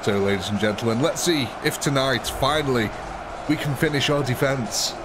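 A man's voice: mid-range voice, moderate pace, background noise. A man hosting a live game stream in casual commentary, cheerful and chatty, laughing often and sniffly with a cold. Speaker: livestream gaming host.

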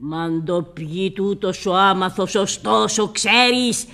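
A woman's voice: medium pitch, a bit bright, lightly acted. An actress in a radio drama playing an old witch, chanting spells in an incantatory, declamatory manner. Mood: sly, obliging. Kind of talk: theatrical radio drama acting.

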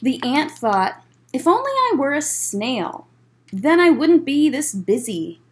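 A woman in her thirties reading a picture book aloud as a children's librarian, in measured phrases with chatty asides. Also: high-pitched, sing-song. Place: library room, indoors.

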